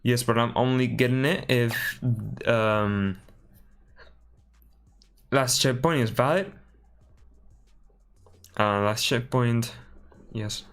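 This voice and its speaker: A man from Spain with a Spanish accent, thinking aloud while building a game on a live stream and often correcting himself with a quick sorry. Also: mid-range voice, fairly bright tone, smooth. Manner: mutters through steps, apologizes and corrects himself often.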